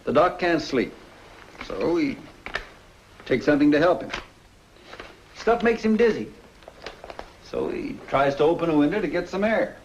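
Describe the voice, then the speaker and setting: An actor playing a brusque, world-weary police detective in a 1950s film noir, American accent, blunt and clipped, on an old film soundtrack. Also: male voice, fairly high pitch, animated, a little slow, long pauses, dull audio, slight background noise. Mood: brusque, matter-of-fact. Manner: blunt, matter-of-fact, clipped.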